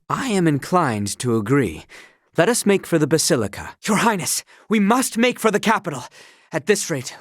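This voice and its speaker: A man's voice: high-pitched, dry recording, studio quality. A man voicing an earnest, dutiful young royal knight in a video game, with courtly, precise, old-fashioned diction. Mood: urgent. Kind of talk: video game character voice lines.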